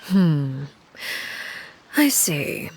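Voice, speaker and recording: A woman playing a calculating, commanding leader in a video game, composed, formal and measured, with faint menace. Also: sits low, very animated, a little mellow, slight background noise, full character voice. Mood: calm, cryptic. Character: cool, calculating, commanding woman, director of an orphanage spy house. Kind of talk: video game character dialogue.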